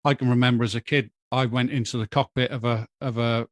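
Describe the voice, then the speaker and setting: Man, podcast guest, thoughtful measured talk, mid pitch, dry sound. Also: fairly even intonation, no room sound. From Wales. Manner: measured, thoughtful, with hesitant starts. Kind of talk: casual podcast chat.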